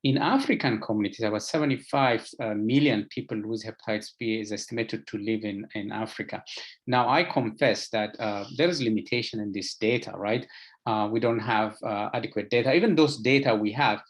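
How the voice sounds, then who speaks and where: A man with an Ethiopian accent gives a medical lecture as an expert, speaking haltingly with frequent filler sounds and repeated words. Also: fairly low pitch. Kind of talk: lecture presentation to health professionals.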